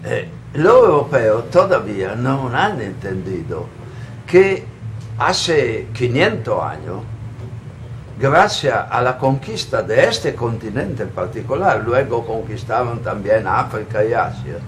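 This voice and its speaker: An older man speaks in a mid-pitched voice, earnest and expansive as he answers a TV interviewer. He pauses mid-phrase, and faint noise sits behind him. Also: big pitch swings, rough recording. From Italy. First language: Italian. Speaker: guest, solidarity activist. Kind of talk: studio TV interview. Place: local TV studio.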